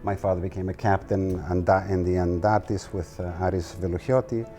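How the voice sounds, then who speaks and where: A man in his sixties from the United States, an artist telling his family story in an interview at his home, relaxed and wry. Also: deep voice, fairly quick, soft background music. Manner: relaxed, wry, self-deprecating. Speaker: guest artist.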